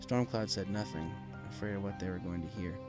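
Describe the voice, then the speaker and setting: A man's voice: low-pitched, a little fast. A man narrating a dramatic story from a script as an audiobook reader. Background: faint music.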